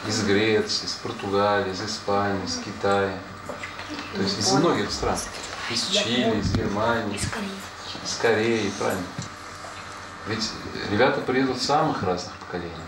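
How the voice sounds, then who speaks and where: Low-voiced man chatting with schoolchildren. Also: normal speed. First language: Portuguese. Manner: patient, explaining and asking questions back. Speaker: former student visiting a class. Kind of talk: casual talk with schoolchildren. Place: school classroom. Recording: echoey room with background noise.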